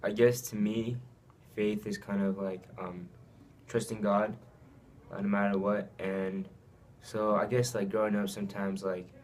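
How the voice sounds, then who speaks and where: A young man from Saipan who grew up in Japan answers questions in a very low, even voice. He hesitates often and fills gaps with ums and likes. Faint noise hums behind him. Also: a little slow. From Saipan, raised in Japan. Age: young adult. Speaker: student athlete interviewee. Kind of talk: casual interview answers.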